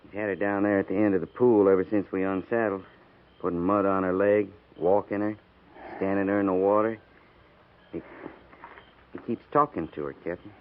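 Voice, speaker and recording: Low-voiced blunt cavalry sergeant played by a man. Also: steady tone, normal speed, long pauses, lightly acted. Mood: concerned, grave. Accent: American. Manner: blunt, matter-of-fact. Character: plain-spoken, concerned veteran male cavalry sergeant. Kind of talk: 1950s radio western acting. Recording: tinny, phone-like 1950s radio recording.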